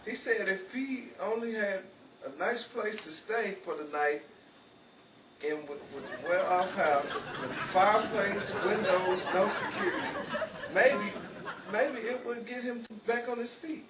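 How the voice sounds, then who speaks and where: Scatterbrained 1940s radio-comedy troublemaker, male actor, high and excitable, distant noisy recording. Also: unhurried, roomy sound, a crowd in the background. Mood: rueful storytelling. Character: Dennis, well-meaning scatterbrained man, forever in trouble. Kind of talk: 1940s old-time radio comedy acting.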